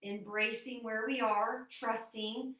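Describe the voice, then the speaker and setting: A woman's voice: fairly high pitch, slow. Roomy-sounding woman fitness instructor. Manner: chatty and apologetic, with many fillers; calm, soothing cues with breathing. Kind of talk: leading a seated online exercise class. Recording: roomy echo, distant from mic.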